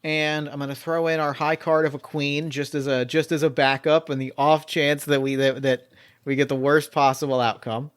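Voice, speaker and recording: A man's voice: on the high side, flat delivery, normal speed, smooth. A man acting as game master for a solo tabletop mystery game, thinking aloud as he weighs his options.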